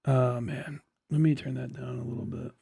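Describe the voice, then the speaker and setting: A man's voice: medium pitch, steady tone, moderate pace, creaky, very noisy. A man hosting a casual livestream, chatting with his viewers in a rambling, profane way. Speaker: livestream host. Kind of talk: casual livestream chat with chat replies. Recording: music behind the voice. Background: guitar playing and music.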